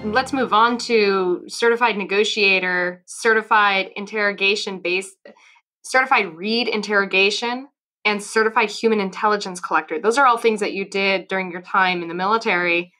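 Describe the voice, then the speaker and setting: A woman's voice: medium pitch, fairly even intonation, moderate pace, sharp tone, soft background music. A woman from California hosting a podcast interview, asking questions in an upbeat, playful way. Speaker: podcast host.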